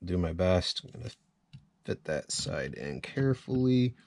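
A man's voice: low voice, big pitch swings, unhurried, gravelly, darkish tone. A man giving a casual hands-on repair tutorial, thinking aloud informally as he works at a workbench. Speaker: chainsaw repair demonstrator. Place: cluttered indoor workshop at a workbench.

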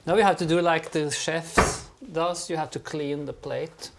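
A man's voice: a little high, fairly even intonation, unhurried, vocal fry, heavy background noise. A man, chatting and bickering through a home baking video in a kitchen, laughing often. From Norway. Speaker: co-host. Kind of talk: casual baking chat. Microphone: unseen mic, medium-distance camera.

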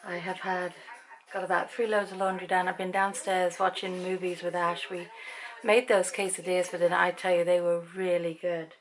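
A woman in her fifties from Britain with an English accent, chatting to a handheld camera in a dim room at night. Her voice is croaky, and she rambles and laughs often. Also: fairly low pitch, little pitch movement, normal speed, rough recording. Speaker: vlogger. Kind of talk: casual chat to camera. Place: bedroom at night, dim lamp light. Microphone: handheld camera at arm's length.

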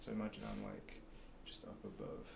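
A man in his twenties doing a soft-spoken ASMR roleplay as a mechanic, murmuring slowly and haltingly and sometimes slipping toward a whisper. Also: on the low side, monotone, creaky, very noisy. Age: 20s. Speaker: ASMR roleplayer playing a robot repair mechanic.

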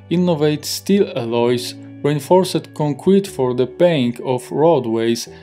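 A Polish-accented man in his thirties reads a history script with careful, earnest delivery. His tone is mellow and dark, recorded close on a lapel mic in a home office. Soft guitar music plays faintly underneath. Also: mid-range voice. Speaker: history channel host. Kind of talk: scripted narration. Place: home office with desk and plant.

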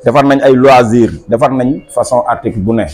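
A man with a Senegalese accent, the club's marketing officer, presenting team merchandise to camera in a steady selling tone. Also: mid-range voice, a little fast. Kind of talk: promotional product presentation. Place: small office indoors.

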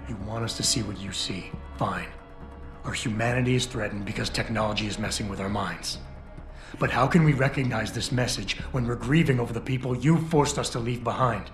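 A man's voice: mid-range voice, a little fast, close to an everyday voice. Guy doing a stern video game hero, indignant n accusing, roomy sound w music playing behind. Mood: stern appeal. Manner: terse, intense, accusing. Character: stern, indignant male action hero confronting a villain. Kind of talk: video game cutscene acting.

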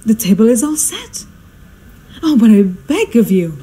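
A woman's voice: fairly high pitch, sing-song, unhurried, mellow, slightly rough, full character voice, low-quality recording. A woman acting a flustered society hostess in a radio play, gushing and insistent with formal politeness. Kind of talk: amateur radio drama acting.

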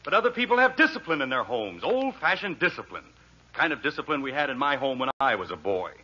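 A man's voice: medium pitch, sing-song, fast talker, pushed and bright, breathy edge, exaggerated voice. A man playing a grumpy, sarcastic father in 1940s radio sitcom style, exasperated and dry. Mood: indignant. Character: grumpy, sarcastic middle-class father and husband. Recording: muffled, hissy 1940s radio broadcast.

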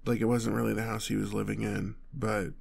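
A man's voice: lowish voice, flat delivery, a little fast, vocal fry, slightly rough, heavily acted. A man playing a protective, anxious boyfriend on the run, stammering and tender, in an intimate audio roleplay. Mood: calming, weary. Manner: stammering, urgent, tender reassurance. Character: panicked, protective boyfriend fleeing a gunman with his partner.

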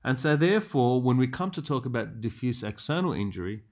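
A man's voice: medium pitch, lively intonation, brisk, a little mellow. A man lecturing on radiology in a didactic way, stressing key terms as he narrates prepared slides.

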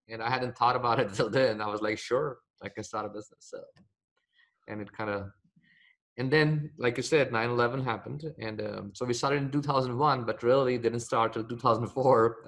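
A man's voice: medium pitch, fairly bright tone. A middle-aged man from India with an Indian accent, a company founder answering interview questions over a video call, hesitant with many fillers and restarts. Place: home office with bookshelves, window behind.

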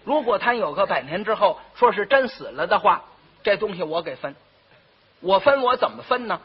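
A man voicing a shrewd, outspoken younger sister in a comic tale, brisk and scolding, with a Beijing accent. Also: high voice, lively intonation, breathy edge, full character voice. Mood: laying down terms. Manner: brisk, scolding, taking charge. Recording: muffled, dull old recording.

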